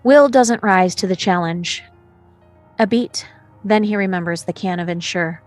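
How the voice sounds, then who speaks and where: A woman's voice: medium pitch, unhurried, faint music behind. A woman reads screenplay stage directions as scripted narration, setting scenes and describing action.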